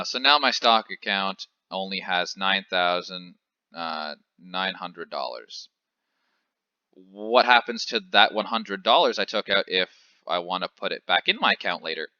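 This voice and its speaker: A young man from Canada talks through money basics in a loose, conversational way, often pausing on fillers and correcting himself. His voice sits on the low side yet sounds very bright and forward. The recording is dry with a slightly dull, lo-fi sound. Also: no echo. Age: 20s. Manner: chatty, lots of filler "uh" and self-corrections. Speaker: finance educator. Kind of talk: casual explainer tutorial.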